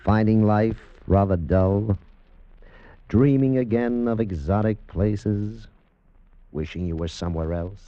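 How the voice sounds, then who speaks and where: A man's voice: fairly low pitch, slow, dry recording, dull audio. A man giving a dramatic scripted introduction as a 1940s radio drama announcer. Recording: old radio broadcast with music. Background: music.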